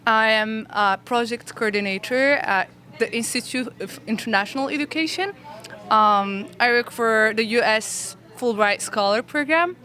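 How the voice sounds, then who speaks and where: A woman's voice: on the high side, normal speed, sharp tone. A young woman from Morocco answering interview questions casually and hesitantly, with lots of filler words. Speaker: event attendee, project coordinator.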